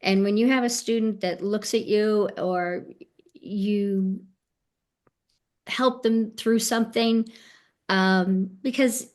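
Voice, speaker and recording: A woman's voice: medium pitch, steady tone, takes it slowly, a little mellow. A woman speaking as a panelist on a video call, telling fond stories about her work as a tour director. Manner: earnest, fond storytelling with fillers. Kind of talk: casual webinar chat.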